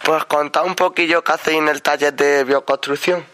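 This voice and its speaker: A man's voice: fairly high pitch, steady tone, brisk, pushed and bright, dry recording. A man interviewing young people at a summer work camp, asking brief friendly questions. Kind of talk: casual interview prompts.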